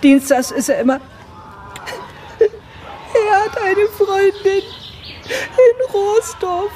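An unhappy farmer's wife in a radio play, with a very high voice and a slow, halting, emotional delivery. A faint noise hangs in the background. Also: female voice, sing-song, darkish tone, heavily acted. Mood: tearful.

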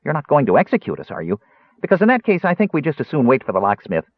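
A man's voice: on the high side, wildly swooping pitch, fast, dry recording, muffled recording, voice pushed up. A man voicing a small, naive, eager kender in an audiobook, rambling earnestly and easily wounded. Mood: eager, doubtful.

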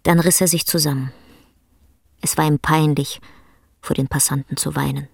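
A woman narrating a radio drama in a measured, hushed literary storytelling manner. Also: deep voice, steady tone, creaky, slightly rough, no room sound, studio quality. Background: plucked guitar music.

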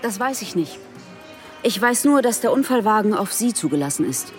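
A woman's voice: medium pitch, big pitch swings, moderate pace, a little mellow, faint music behind, close to an everyday voice. A woman playing a businesslike police detective around forty in a radio drama, dry, formal and matter-of-fact. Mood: stern, insistent. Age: 40s.

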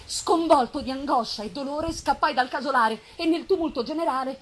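A woman's voice: sits high, close to an everyday voice. A woman voicing an anguished, vengeful outcast male monster in an impassioned, theatrical gothic reading. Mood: horrified, pained. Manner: impassioned, theatrical, drawn-out emphasis. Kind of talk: dramatic gothic novel reading. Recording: live festival recording with background noise.

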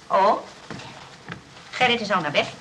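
Radio play role: prim nursing sister, earnest, moralising, soft underneath, bright voice with very lively intonation. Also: female voice, mid-range voice, measured pace, slight background noise, low-quality recording. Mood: stern. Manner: earnest, reproving, fretful.

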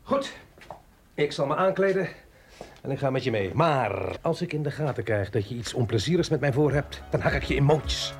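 An actor in a radio play, playing a wry, irritable civilian with a sardonic, questioning manner. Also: male voice, mid-range voice, lively intonation, normal speed, close to an everyday voice. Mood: grumbling. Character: wry, irritable civilian man summoned by the military. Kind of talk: radio drama acting. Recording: noisy radio drama recording.

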